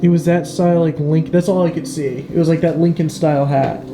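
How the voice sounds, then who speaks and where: A young man hosting a ghost-hunting trip, chatting excitedly and rambling as he swears and repeats himself. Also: fairly high pitch, fairly quick, over music. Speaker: paranormal channel host. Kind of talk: casual excited chat.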